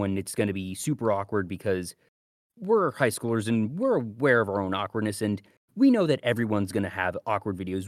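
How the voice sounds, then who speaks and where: A man's voice: lowish voice, dry recording, clean studio sound. A man in his thirties co-hosting a podcast, telling a personal anecdote in a casual chatty way. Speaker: podcast co-host.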